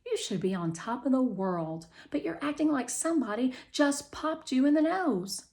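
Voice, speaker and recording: A woman's voice: high-pitched, lively intonation, normal speed, a little mellow, voice pushed up. A woman playing a feisty, supportive young female helper in a children's story, emphatic and no-nonsense. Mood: concerned. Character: supportive, feisty young female aide giving pep talks.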